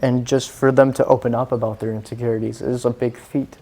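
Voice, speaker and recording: A man's voice: mid-range voice, flat delivery, fairly quick, warm tone. A man who grew up in Singapore, talking as an interview guest in an earnest, rambling way with lots of fillers. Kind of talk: casual interview chat.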